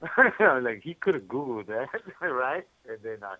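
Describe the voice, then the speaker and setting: A man's voice: mid-range voice, sing-song, normal speed, dull audio. A man calling by phone from prison, chatting casually in slangy, repetitive bursts. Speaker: incarcerated caller. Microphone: phone call.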